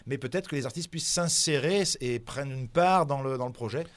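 A man's voice: mid-range voice, fairly quick, no room sound. A man whose first language is not French, presenting a cultural program in a radio interview, hesitant with many fillers and restarts.

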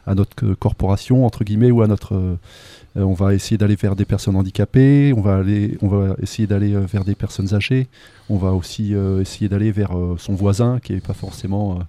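Man, gravelly dark voice, halting speech full of fillers, dry close sound. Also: on the low side, fairly even intonation, no room sound. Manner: halting, many fillers and restarts. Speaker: association member. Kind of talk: hesitant radio interview answer.

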